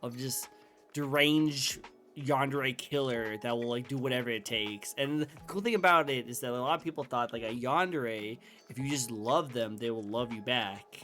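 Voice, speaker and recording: A young man hosts a casual chat and rambles through ideas, full of fillers and self-restarts. His voice sits at an ordinary middle pitch. Faint music plays quietly under the conversation. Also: normal speed. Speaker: interview host.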